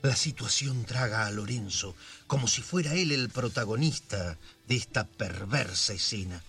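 A man narrating a scripted radio drama as a measured, theatrical storyteller. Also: on the low side, bright, forceful tone. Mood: suspenseful.

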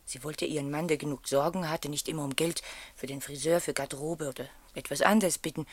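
Husky, thoughtful confiding woman. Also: deep voice, no echo. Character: thoughtful, reserved woman confiding a troubled marriage, gently sceptical. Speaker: radio drama actor. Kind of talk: German radio play dialogue.

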